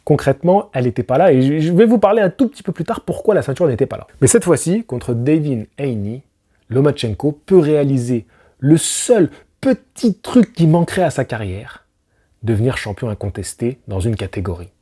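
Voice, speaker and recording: Man, boxing channel host, casual analysis, mellow tone, slightly husky. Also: mid-range voice, fairly quick. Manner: animated, talks to viewer directly, rhetorical questions, slangy asides.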